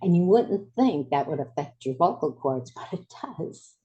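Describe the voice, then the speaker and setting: Low, mellow-voiced woman in her seventies. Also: expressive, moderate pace, highs cut off. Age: 70s. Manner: chatty, laughs easily, self-deprecating. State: recovering from voice loss. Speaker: podcast host. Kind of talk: interview.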